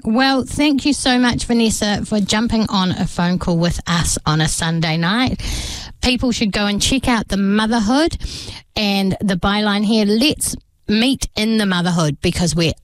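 A woman's voice: mid-range voice, unhurried, dry recording. A woman hosting a radio interview in an upbeat, chatty way. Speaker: radio host.

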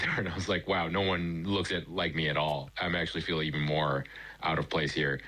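A man with an American accent, a chef answering interview questions in a relaxed, conversational way. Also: low-pitched, low-quality recording. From New York. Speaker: guest, chef.

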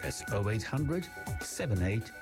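A man's voice: lowish voice, big pitch swings, a little slow, music in the background. Man reading a community notice. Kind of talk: scripted radio announcement.

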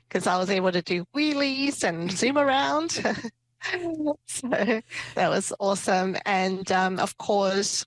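A woman's voice: mid-range voice, dry recording, poor audio. A woman from Myanmar who moved to New Zealand, telling her story informally and light-heartedly as a podcast guest. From born in Myanmar, moved to New Zealand as a child. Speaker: podcast guest, property investor and entrepreneur. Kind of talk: casual personal storytelling in an interview.

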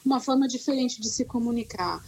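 A woman talks casually about her work as a teacher. Her voice is a little husky and sits on the higher side. She tells stories in an animated, self-mocking way, often stopping to restart a sentence. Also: a little fast, poor audio. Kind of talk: casual conversation.

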